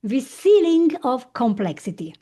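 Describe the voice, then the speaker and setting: A woman hosts a conversational podcast, her voice sitting a little high for a woman. She prompts her guest with curious, lively questions and talks through her own examples. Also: unhurried, slightly rough. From Italy. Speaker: podcast host. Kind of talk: conversational interview.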